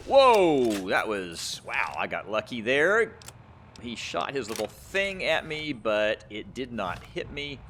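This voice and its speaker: A man hosting a gaming video, giving casual gameplay commentary, excitable and thinking aloud as he plays. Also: fairly low pitch. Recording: faint background noise.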